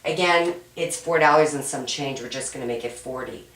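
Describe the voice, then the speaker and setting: A woman teaching an accounting homework walkthrough, hoarse and raspy from a cold, patient and step-by-step, often checking in with "right?". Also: extremely low pitch, fairly quick, fairly bright tone. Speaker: accounting instructor. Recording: roomy echo, mic at a distance.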